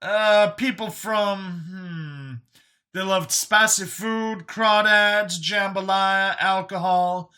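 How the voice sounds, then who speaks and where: A man voicing an excitable, easily shocked doctor in an audio story, high and strained, with halting, exclaiming speech. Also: heavily acted. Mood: earnest recalling. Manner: exclaiming, halting when searching for words.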